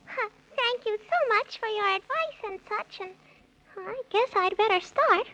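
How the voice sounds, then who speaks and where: An actor voicing a small, timid, excitable creature in a 1930s children's radio serial, squeaky and fretful, exclaiming in rhyme. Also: unhurried. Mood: nervous, hesitant. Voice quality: squeaky, cartoonish. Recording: noisy dull vintage 1930s radio recording.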